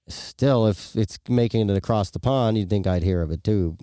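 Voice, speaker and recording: A man's voice: fairly low pitch, moderate pace, warm tone, smooth, no echo, clean studio sound. A man co-hosting a podcast, chatting casually and reacting to his partner's stories. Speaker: podcast co-host.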